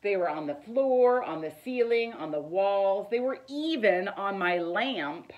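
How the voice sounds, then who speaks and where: A middle-aged woman reads a children's picture book aloud in an expressive, deliberate storytelling manner. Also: medium pitch, highs cut off. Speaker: teacher reading aloud. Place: living room, indoors.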